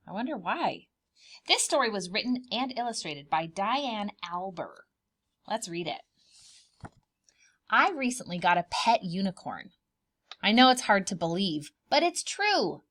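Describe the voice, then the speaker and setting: A woman reading a picture book aloud for preschoolers, expressive and dramatic, pausing to put questions to the children. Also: mid-range voice. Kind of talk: animated children's storybook read-aloud with asides.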